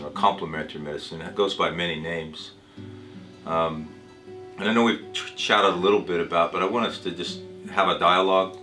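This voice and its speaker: Man acting as a deep-voiced, measured doctor, roomy sound. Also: close to an everyday voice. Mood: friendly, measured. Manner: measured, hesitant, explanatory. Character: reassuring male physician talking with a cancer patient. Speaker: doctor in a training dramatization. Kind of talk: role-played consultation, semi-improvised dialogue. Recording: roomy echo with music underneath.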